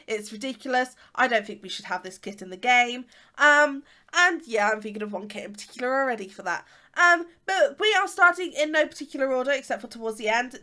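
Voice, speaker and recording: Congested English woman in her twenties, bright, chatty host, rambles with fillers. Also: fairly high pitch. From England. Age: 20s. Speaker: YouTuber. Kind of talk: casual chat.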